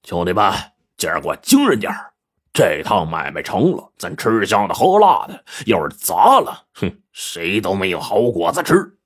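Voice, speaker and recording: A menacing crime boss, acted out by a male storyteller for an audio story. The voice is low and husky, swinging freely as he sneers. He swaggers and gloats through mock-friendly threats. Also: animated, fairly quick, fairly bright tone, exaggerated voice. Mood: commanding.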